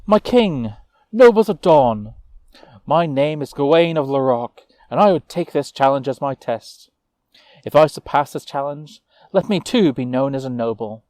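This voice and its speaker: A man's voice: mid-range voice, lively intonation, measured pace, a little mellow, no room sound, natural voice. A man voicing an eager young woman knight in a fantasy tale, formal and resolute as she proclaims herself. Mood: proud resolve.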